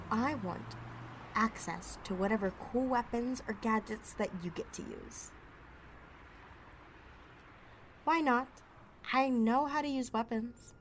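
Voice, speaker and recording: A brash female outlaw voiced by a woman in an audio roleplay, sassy and sarcastic at an average feminine pitch. She is a wisecracking, foul-mouthed thief with plenty of swagger. There is some noise behind the voice. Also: fairly bright tone, lightly acted. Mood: bold, bargaining. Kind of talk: ASMR roleplay audio drama.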